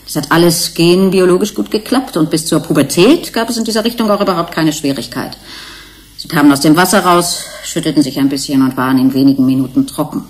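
An actress playing a matter-of-fact researcher in a German radio play, explaining things with precision. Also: female voice, low voice, fast, close to an everyday voice. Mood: explaining, sober. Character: matter-of-fact female researcher on an investigating team.

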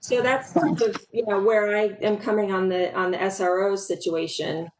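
A middle-aged woman hosting a public town hall over a video call, speaking earnestly with halting fillers from a room at home. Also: mid-range voice, fairly even intonation. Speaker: town hall host, local supervisor. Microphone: video call webcam. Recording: echoey room, faint noise.

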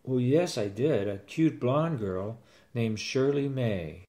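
A man's voice: medium pitch, animated, slow pace, warm tone. A man in his sixties narrating a first-person story and acting out its dialogue as a grandfather.

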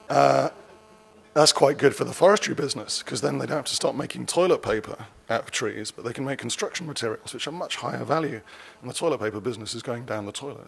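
Gravelly husky guy in his 40s doing a keynote at a lectern, chatty and dry, fixes his sentences as he goes. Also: mid-range voice. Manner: conversational, self-correcting, dryly wry asides. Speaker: keynote speaker. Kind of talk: conference keynote talk. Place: conference stage. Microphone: lectern mic.

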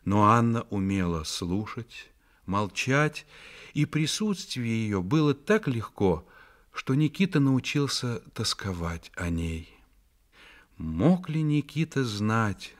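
A man narrating a literary radio play as the author's voice, measured and reflective, with deliberate pauses. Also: mid-range voice. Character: authorial narrator of a family novel, reflective and solemn. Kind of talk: scripted literary narration, dramatic radio reading.

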